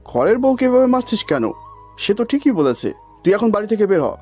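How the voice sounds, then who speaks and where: A woman's voice: medium pitch, big pitch swings, a little fast, darkish tone, faint music behind. A woman voicing a stern, scolding father-in-law in an audio drama. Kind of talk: dramatised audio story acting.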